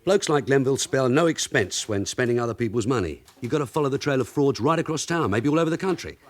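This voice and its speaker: An actor playing a shrewd, middle-aged police sergeant in a radio drama, dry, sarcastic and bossy. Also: male voice, medium pitch, lively intonation, fast, natural voice. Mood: brisk, instructing. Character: shrewd, sardonic veteran London detective sergeant. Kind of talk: naturalistic radio drama acting.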